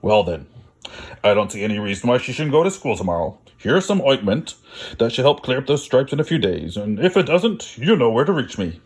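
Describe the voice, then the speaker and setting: Man reading as an earnest, bumbling storybook doctor, very animated. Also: medium pitch, a little fast, slightly rough. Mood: professional, puzzled. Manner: exclamatory, rattles off lists of symptoms.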